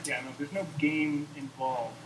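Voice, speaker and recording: A man's voice: on the high side, little pitch movement, unhurried, recorded from a distance. A man co-hosting a livestream with casual, wry, joking banter and repetitive clowning, on noisy audio. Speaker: co-host of a live game preview stream.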